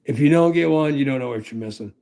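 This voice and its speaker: A man's voice: mid-range voice, fast, vocal fry, warm tone. An older man hosting a casual livestream, rambling, folksy and profane as he reads comments. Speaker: livestream host.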